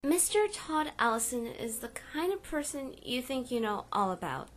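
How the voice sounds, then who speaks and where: A woman's voice: on the high side, normal speed. A woman voicing an earnest, curious, jumpy young woman who acts and narrates in a webcomic dub. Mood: earnest, fascinated. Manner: earnest, expressive. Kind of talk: dubbed webcomic acting and narration.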